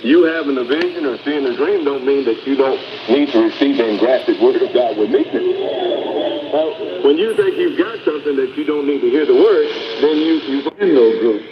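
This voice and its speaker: Preacher on dull, noisy shortwave. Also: male voice, medium pitch, moderate pace. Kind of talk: sermon. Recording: muffled, noisy shortwave radio broadcast.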